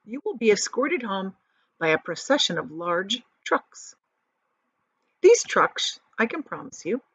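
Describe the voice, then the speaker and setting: A woman teacher reading a children's book aloud with expressive, softly varied storytelling. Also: mid-range voice, dry recording. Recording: dry, dull lo-fi audio.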